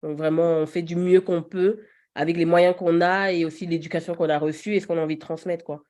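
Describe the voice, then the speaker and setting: A woman in her thirties speaks as a podcast guest, relaxed and chatty. She laughs easily and fills her pauses. Her voice sits a little low. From Paris region. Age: 30s. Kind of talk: casual chat.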